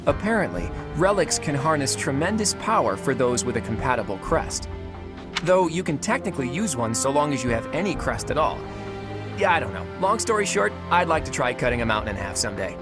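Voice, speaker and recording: A sly young nobleman from a fantasy game, played by a male actor, talks in a breezy, teasing way. His mid-pitched voice swings lively up and down, with music playing underneath. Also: brisk, close to an everyday voice. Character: sly, easygoing young nobleman student, secretive and playful. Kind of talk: video game voice acting.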